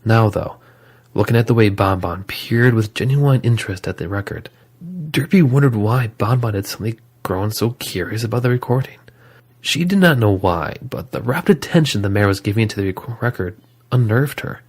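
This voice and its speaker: A man with a low voice narrates a scripted horror story. He tells it dramatically, as the narrator of an audio drama. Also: lively intonation, normal speed, husky.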